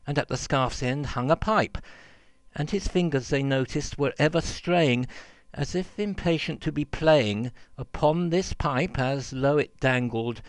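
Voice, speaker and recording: A man reading a children's verse story aloud in an expressive, theatrical and rhythmic way. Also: medium pitch, measured pace, dry recording. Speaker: reader, storyteller.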